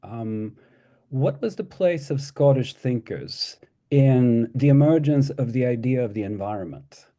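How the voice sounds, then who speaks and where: A man's voice: medium pitch, expressive, a little slow, warm tone. A middle-aged Swedish-born man giving an academic talk over a video call, hesitant, with many ums and restarts. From Sweden. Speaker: guest lecturer.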